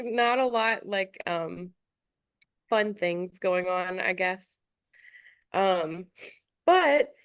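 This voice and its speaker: Woman podcaster just chatting, kinda slow, giggly, bit of a stuffy nose, dry sound. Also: medium pitch, no echo. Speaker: podcast co-host. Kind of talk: casual chat.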